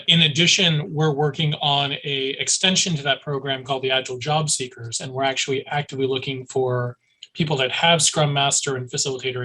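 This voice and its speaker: A man's voice: mid-range voice, pushed and bright, poor audio. A man introducing himself on a video call, dry and wry. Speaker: meetup participant.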